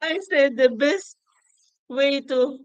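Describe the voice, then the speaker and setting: A woman's voice: high voice, slow pace, no echo, dull audio. A woman from the Philippines with a Filipino accent, speaking English as a second language, chatting and explaining as co-host of a casual livestream.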